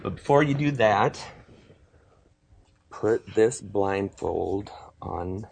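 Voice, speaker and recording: A man's voice: lowish voice, expressive, extremely slow, creaky, husky. A man directing a couple through a staged exercise, giving plain instructions.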